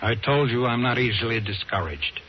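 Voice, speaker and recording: An American actor playing a stubborn, hard-boiled talent agent in an old-time radio drama, dramatic and tough-talking. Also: male voice, medium pitch, moderate pace, a little hiss or hum, close to an everyday voice. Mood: insistent, skeptical. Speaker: radio drama lead and narrator. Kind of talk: old-time radio drama acting and first-person narration. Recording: muffled vintage radio with music.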